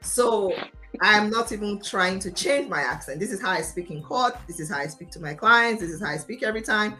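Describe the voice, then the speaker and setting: A woman's voice: medium pitch, animated, brisk, sharp tone, soft background music. A Nigerian woman with a confident, emphatic, passionate way of talking, telling her own story as an interview guest. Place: remote video call.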